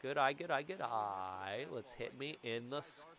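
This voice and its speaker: A man in his thirties giving jokey, excitable commentary over a baseball video game, recorded on a boom mic in a small room at home. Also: measured pace, crowd noise. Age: 30s. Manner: excitable, jokey, bursts into loud yells. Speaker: gaming YouTuber. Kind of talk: gameplay commentary. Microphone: studio mic on boom, webcam framing.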